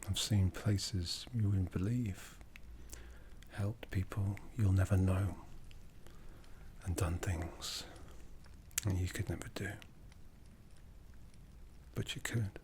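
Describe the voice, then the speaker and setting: A man playing an ancient, brooding vampire lord in an ASMR roleplay, speaking in a breathy, hushed, solemn voice that slips into whispers. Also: low-pitched, fairly even intonation, slight background noise. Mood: solemn, coaxing. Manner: deliberate, solemn, intimate. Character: ancient vampire lord, brooding, commanding, softly menacing, courtly. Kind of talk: ASMR roleplay, soft speech slipping into whispers.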